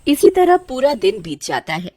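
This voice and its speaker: A woman reading scripted story narration for a cartoon, with loud noisy ambience around her voice. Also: high voice, big pitch swings, moderate pace, no echo, studio quality.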